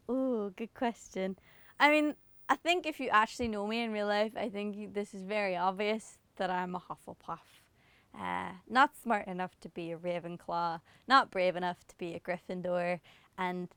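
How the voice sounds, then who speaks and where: A woman's voice: mid-range voice, fairly bright tone, smooth, no room sound. A young woman from Ireland with an Irish accent, chatting casually and self-mockingly to camera as she answers questions about herself. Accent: Northern Irish. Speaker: singer answering autocomplete questions.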